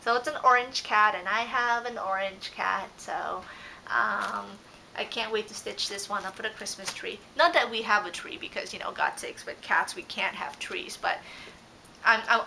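Chatty young woman, bright clear voice. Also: mid-range voice, steady tone, moderate pace, slight background noise, poor audio. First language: Dutch. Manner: chatty, rambling, frequent ums and self-corrections. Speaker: cross-stitch vlogger. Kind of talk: casual show-and-tell haul. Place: living room couch, indoors. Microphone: fixed camera at close range.

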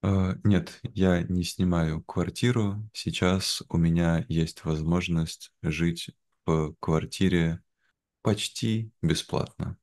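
A young man speaking in a careful, measured way in a simplified conversation for learners, on a video call from home. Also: deep voice, steady tone, a little mellow. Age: young adult. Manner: measured, careful, deliberate phrasing. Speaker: guest, language teacher. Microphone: video call, wearing headphones.

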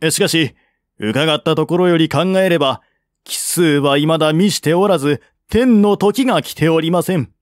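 A man's voice: fairly high pitch, moderate pace, no echo, studio quality, natural voice. A man reading the part of a courteous, shrewd peace envoy in a historical novel, speaking politely and formally. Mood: earnest, persuading. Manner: polite, formal, reasoning step by step.

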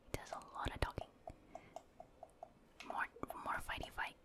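A woman whispers softly and breathily as she plays a video game for ASMR. She keeps up a casual, murmured play-by-play in short remarks, never using full voice. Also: moderate pace.

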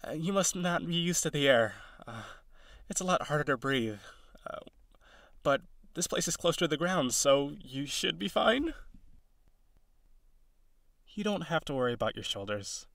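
Man playing an excitable, nervous male harpy, animated pitch. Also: a little slow, long pauses, no room sound, clean studio sound, exaggerated voice. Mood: apologetic, rambling. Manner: hesitant, gentle, excitable, dramatic. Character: eager, nervous, awkward young male harpy courting a human. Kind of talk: audio roleplay addressed to the listener.

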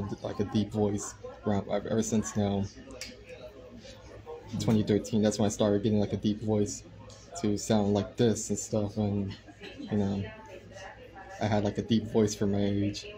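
A man in his twenties chatting casually on a live stream, soft-spoken and hesitant, with many fillers. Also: on the low side. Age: 20s. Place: indoor room by a window.

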